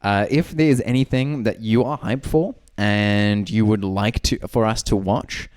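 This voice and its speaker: A man's voice: on the low side, a little mellow, smooth. Rambling, teasing young man on a podcast. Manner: rambling, teasing, quick asides. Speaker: podcast co-host. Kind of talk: casual banter among friends.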